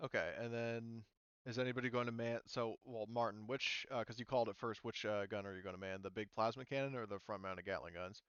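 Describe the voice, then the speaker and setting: A man with a smooth, fairly low voice runs a casual tabletop game, explaining and thinking aloud informally. The sound is dry and slightly dull. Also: steady tone, a little fast, fairly bright tone, no echo. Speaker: game master.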